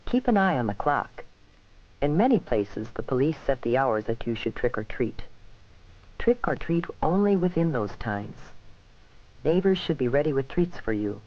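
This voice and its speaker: An American man reading scripted, instructive narration for a 1970s safety film, with measured phrasing addressed to young people. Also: medium pitch, sing-song. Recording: dull vintage 1970s film soundtrack.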